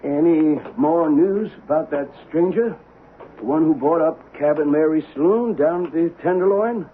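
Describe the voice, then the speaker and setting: A man's voice: on the high side, moderate pace, lightly acted. Man acting chatty, folksy western barber. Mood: gossipy. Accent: American. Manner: folksy, deferential. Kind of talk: 1970s radio drama acting. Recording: lo-fi vintage radio, narrow band, faint noise.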